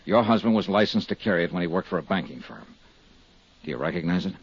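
A hardboiled radio investigator of the 1950s, husky and on the low side. He speaks in firm, measured, clipped phrases, steady and insistent but sympathetic. The old recording is dull and very dry. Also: full character voice. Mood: frank, steady. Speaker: actor in radio detective drama. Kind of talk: 1950s radio acting, first-person narration and dialogue.

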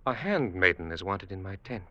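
An actor playing a scheming, coldly triumphant brother-in-law in a formal, declamatory 1950s radio drama style. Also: male voice, low-pitched, sing-song, fairly quick, pushed and bright, dull audio, heavily acted. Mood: smug, commanding. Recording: vintage radio drama recording.

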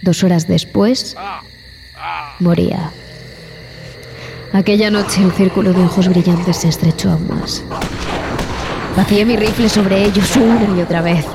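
A woman playing a traumatized expedition survivor in a horror audio drama, telling her story in a haunted, halting, dramatic way. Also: fairly low pitch, gravelly, noisy background. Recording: music bed behind the voice.

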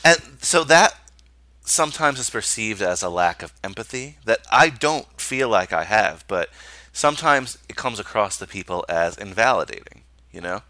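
A man on a podcast, searching for his words with plenty of "like" and "you know". His voice sits low and sounds bright and forward, caught close and dry on a studio mic. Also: lively intonation, normal speed, no echo. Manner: hesitant, filler-heavy, self-searching. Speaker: podcast co-host. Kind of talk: casual chat.

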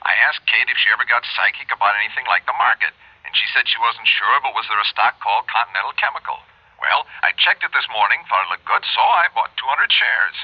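An actor with an American accent playing an eager, generous suburban neighbor heard through a telephone in a radio drama. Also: male voice, medium pitch, animated, quick pace, slightly rough, no room sound. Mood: excited. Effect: telephone filter. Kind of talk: radio drama phone dialogue. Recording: dry, dull telephone-style radio recording.

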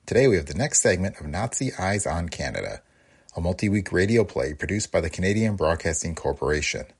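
A man's voice: low-pitched, very animated, a little fast, husky. A man reading a scripted introduction as a podcast host.

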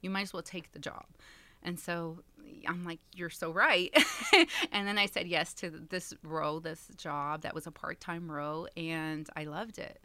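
A woman's voice: fairly low pitch, a little slow, sharp tone, dry recording. A woman in her thirties from Texas, a community center director, chatting as a guest with frequent laughs and fillers. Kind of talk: casual interview chat.

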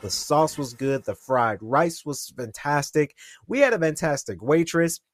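A man's voice: fairly high pitch, lively intonation, measured pace, dry recording, studio quality. A man in his twenties hosting a podcast, telling a rambling story and talking straight to his listeners. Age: 20s. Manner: rambling, chatty, addresses listeners directly. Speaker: podcast host. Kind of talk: casual solo podcast storytelling.

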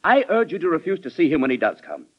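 An actor playing a proud, hot-tempered government official in a classic radio drama, formal, clipped and combative. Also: male voice, fairly high pitch, big pitch swings, fast. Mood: indignant. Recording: dull old radio recording.

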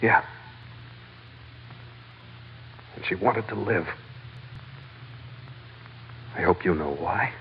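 An actor playing a brooding, world-weary sea captain who narrates a radio adventure in a terse, hard-boiled, wistful way. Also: male voice, low-pitched, fairly quick, vocal fry, slight background noise. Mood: bitter. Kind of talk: 1940s radio acting, first-person narration. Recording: muffled old radio recording over loud music.

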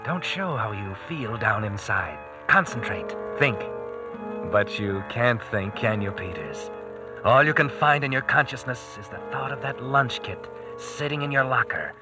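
An actor playing a grim, needling thriller narrator in a classic radio drama, clipped and suspenseful. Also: male voice, mid-range voice, lively intonation, measured pace, over music, close to an everyday voice. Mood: taunting, intense.

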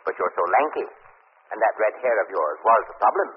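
Man playing a suave, unctuous crime boss with swooping pitch. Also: animated, a little fast, lightly acted. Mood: coolly menacing. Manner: overly courteous, theatrical, unctuous. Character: suave, cadaverous male crime boss, mockingly genteel. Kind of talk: 1940s radio acting. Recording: muffled noisy old radio recording.

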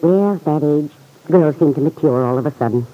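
Actress as a mellow, gentle radio-serial mother. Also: deep voice, fairly quick, natural voice. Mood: gentle, concerned. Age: middle-aged. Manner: gentle, forgiving, chuckling. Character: warm, forgiving small-town mother of grown son. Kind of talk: old-time radio serial acting. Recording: vintage radio recording, muffled.

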